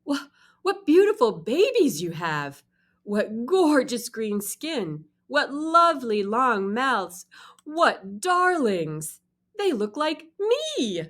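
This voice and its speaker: A woman's voice: on the high side, big pitch swings, slow pace. A woman voicing a hungry but gullible mother crocodile in a children's storybook, with emphatic, dramatic delivery. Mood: gushing, sweet. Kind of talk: acted children's storybook read-aloud.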